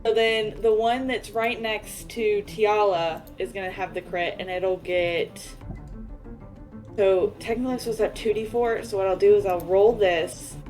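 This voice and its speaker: Female tabletop player, average pitch, casual game chat, light music bed. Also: fairly even intonation, normal speed, a little mellow. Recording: music behind the voice. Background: background music.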